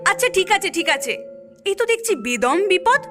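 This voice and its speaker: A woman's voice: extremely high pitch, big pitch swings, a little fast, over music. A woman voicing a shrewd, coaxing village woman in a cartoon, with a teasing, exclamatory delivery. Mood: mock-concerned. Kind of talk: cartoon voice acting.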